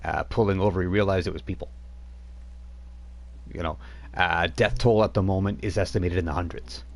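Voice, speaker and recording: A man narrating a tabletop game as game master, speaking in a halting way with many fillers. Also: sits low, fairly even intonation, vocal fry.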